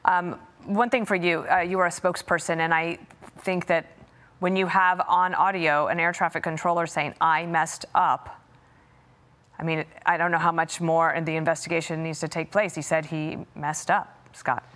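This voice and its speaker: A woman's voice: on the low side, little pitch movement, normal speed, fairly bright tone. A woman anchoring the news and interviewing a guest in broadcast style.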